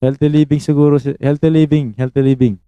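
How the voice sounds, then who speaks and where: A man's voice: a little high, level tone, fast talker, clean tone, dry recording, lo-fi sound. A man in his twenties with a Filipino accent, hosting a casual live stream, switching between Tagalog and English and calling out viewers by name. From Philippines. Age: 20s.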